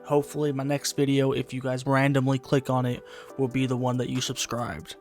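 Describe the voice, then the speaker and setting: A woman's voice: rumbling low, little pitch movement, a little fast, a little mellow, faint music behind. A woman giving casual game playthrough commentary, sarcastically talking back to the characters and swearing freely. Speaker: gaming video host.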